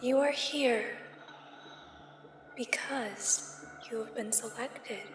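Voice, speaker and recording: Woman acting as an echoing, uncanny government examiner. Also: on the high side. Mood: calm, secretive. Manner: measured, formal, hushed. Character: soothing, uncanny female government examiner at Area 51. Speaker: ASMR roleplay performer. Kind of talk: soft-spoken ASMR sci-fi roleplay. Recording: echoey long reverb, faint background noise. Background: sci-fi ambience.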